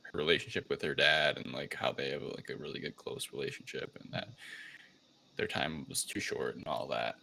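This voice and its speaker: A man's voice: very deep voice, normal speed, creaky, bright, forceful tone. A man co-hosting a casual film discussion, talking in a hesitant, rambling way with unsure phrasing. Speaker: podcast co-host recapping a film.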